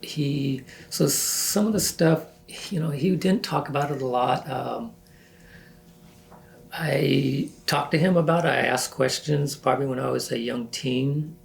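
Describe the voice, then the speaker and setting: A man in his sixties from northern California, recalling his life in a halting, self-correcting way as an oral history interviewee. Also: mid-range voice, gravelly. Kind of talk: reflective recollection.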